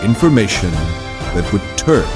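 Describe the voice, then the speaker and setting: Audio drama narrator male actor: lowish voice, dramatic war storytelling, clear music bed underneath. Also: sing-song, measured pace, recorded from a distance.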